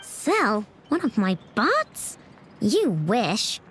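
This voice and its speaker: A woman's voice: on the high side, huge pitch swings, extremely slow, noisy background, heavily acted. A woman voicing a young girl in a scripted fantasy video game, chirpy and blunt, with music behind her. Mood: defiant.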